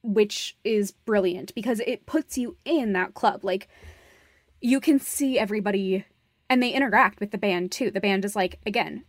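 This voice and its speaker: A woman podcast host in casual critical discussion, recorded dry with no room sound. She is emphatic and gushing, swears freely, and speaks at a middling pitch with a measured pace. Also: dry recording.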